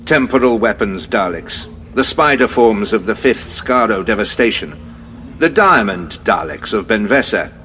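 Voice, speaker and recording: A grave, deep-voiced general. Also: male voice, animated, pushed and bright. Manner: measured, formal briefing. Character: grave male general, formal and heavy.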